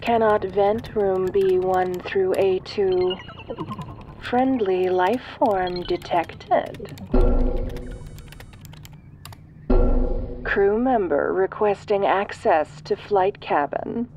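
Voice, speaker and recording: A woman's voice: mid-range voice, slow, dark tone, muffled recording, faint music behind, lightly acted. A woman voicing an impassive spaceship computer, giving formal, clipped announcements in a sci-fi audio roleplay. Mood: formal, procedural. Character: impassive female ship computer, procedural, alarm-giving machine.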